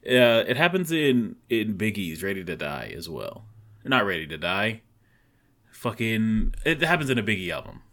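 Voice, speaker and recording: Low-voiced blunt man cursing freely. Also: big pitch swings, normal speed, fairly bright tone. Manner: blunt, swears a lot, opinionated. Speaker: podcast co-host. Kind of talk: casual music podcast chat.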